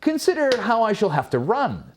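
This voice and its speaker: A man's voice: high voice, huge pitch swings, moderate pace, slight background noise, voice pushed up. A man voicing an anxious, complaining dog in a storybook fable, with plaintive, old-fashioned formal speech. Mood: anxious protest.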